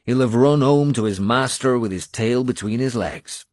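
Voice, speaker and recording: A man voicing a blunt, gruff Irish male warrior with an Irish accent, scolding and coarse. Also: medium pitch, normal speed, no echo, clean studio sound, voice pushed up, natural voice.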